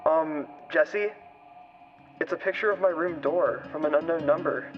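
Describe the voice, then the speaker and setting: A man's voice: on the high side, unhurried, natural voice. A young man playing an earnest, tentative co-host of a paranormal vlog in an audio drama, speaking casually. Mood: uneasy. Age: young adult. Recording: lo-fi video audio with music.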